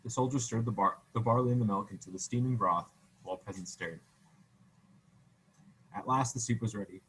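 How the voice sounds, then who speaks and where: A man's voice: fairly low pitch, fairly quick, a bit bright, a little hiss or hum, poor audio. A man reading a children's story aloud in a casual way, often stumbling and correcting himself. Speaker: reader.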